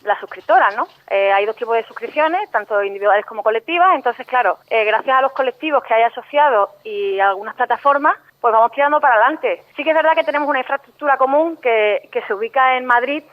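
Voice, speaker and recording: A woman journalist joins a radio interview by phone, her voice fairly high and muffled by the line. She explains things in a steady, measured way. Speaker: journalist guest. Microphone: phone call.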